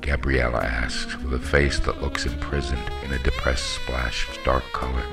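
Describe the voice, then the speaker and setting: A man with an extremely low voice narrates literary fiction in the manner of an audiobook. The reading is scripted prose, and music plays clearly behind the voice. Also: little pitch movement, normal speed.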